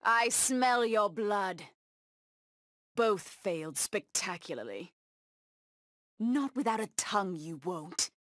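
A woman's voice: medium pitch, animated, slow, pauses often, fairly bright tone, no room sound, clean studio sound. An actress voicing a proud, bloodthirsty cat-woman huntress villain in a video game, with menacing, taunting delivery. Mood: fierce, bloodthirsty.

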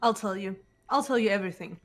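A woman voicing a serious, guarded teenage girl detective in a visual novel game, cool and measured. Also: mid-range voice, soft background music, lightly acted. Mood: solemn, confessional.

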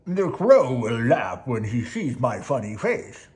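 A reader acts out a hungry storybook warthog. He schemes aloud in a slow, loud voice. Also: mid-range voice, big pitch swings. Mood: scheming.

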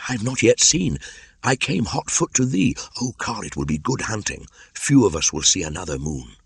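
A proud young man raised by wolves, from a storybook, voiced by a male reader. He declaims earnestly and boldly in a raised, swooping voice. There is a husky grain to his old-fashioned phrases. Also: on the low side, big pitch swings, normal speed, no room sound, voice pushed up, full character voice. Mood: proud, urgent.

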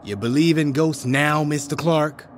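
A man's voice: fairly high pitch, a little slow, a little hiss or hum, lightly acted. An actor playing a brash, blunt teenage boy who mocks his classmates in an audio drama. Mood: mocking. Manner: blunt, mocking.

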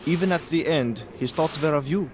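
An actor playing an evasive, courteous Viennese baron in a radio drama, with an Austrian German accent and a hesitant, ingratiating manner. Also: male voice, on the high side, a little fast, background noise, close to an everyday voice. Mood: solemn.

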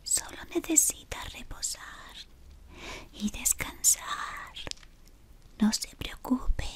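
A woman in her thirties whispering an ASMR roleplay as a devoted servant of a dark lord, softly and deferentially, close to the mic. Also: a little high, slightly rough. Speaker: ASMR creator. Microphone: close to camera.

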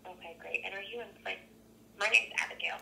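A woman's voice: medium pitch, fairly quick, gravelly, bright, forceful tone. A woman working as a call centre agent, polite and businesslike, heard through a telephone speaker. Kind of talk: scripted customer service phone talk. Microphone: heard through a phone's speaker. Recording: phone call on speaker, faint noise.

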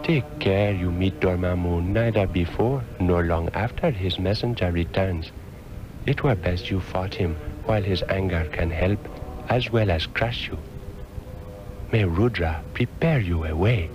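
A weary, deep-voiced old mystic master. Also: male voice, a little slow. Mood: solemn warning. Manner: solemn, formal, archaic phrasing. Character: aged, weary mystic master, wise and fatherly. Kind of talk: 1960s radio drama acting. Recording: muffled old radio drama, music behind.

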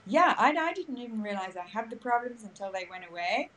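A woman's voice: a little high, very animated, fairly quick, a bit bright, a little hiss or hum, poor audio. A woman podcaster answering interview questions in an upbeat, storytelling way over a video call. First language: English. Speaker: guest, podcaster.

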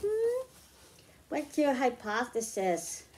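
A middle-aged woman reading picture books aloud to young children, explaining slowly and clearly at home. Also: high voice, wildly swooping pitch, darkish tone, a little hiss or hum. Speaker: children's librarian. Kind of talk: storytime read-aloud for kids.

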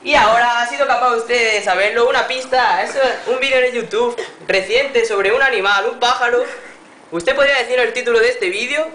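A man's voice: extremely high pitch, a little fast, pushed and bright, a little hiss or hum. A young man in a hotel room poses as a radio presenter on a prank call, speaking in a formal, overly polite announcer tone. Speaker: prank caller posing as radio host. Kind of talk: mock radio contest patter. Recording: echoey room.

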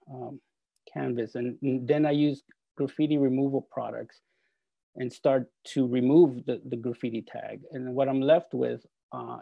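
A man in his forties from El Salvador, an artist talking over a video call from his studio, halting with many fillers and restarts. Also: mid-range voice, creaky, warm tone, breathy edge, no echo. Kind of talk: video call talk with slides. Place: home art studio. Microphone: earphone mic on video call.

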